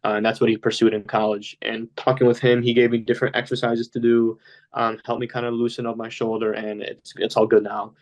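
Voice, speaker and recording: A man's voice: mid-range voice, completely flat delivery, brisk, poor audio. Casting note: young male guest, Polish-speaking home, loose rambling chat, lots of fillers. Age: young adult. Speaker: podcast guest. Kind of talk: casual interview talk.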